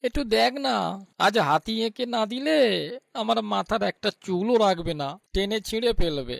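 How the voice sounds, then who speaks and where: A man's voice: high voice, expressive, moderate pace, creaky, no echo, studio quality. A man playing a timid, grumbling older villager in a cartoon, exclaiming and plaintive. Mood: pleading. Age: middle-aged. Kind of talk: animated cartoon dialogue.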